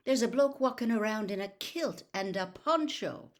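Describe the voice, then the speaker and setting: A blunt country campsite manager from a storybook, played by a woman reader. He talks in clipped, gruff phrases and sounds suspicious of every stranger who turns up. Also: on the high side, lively intonation, moderate pace, pitched above the performer's own voice.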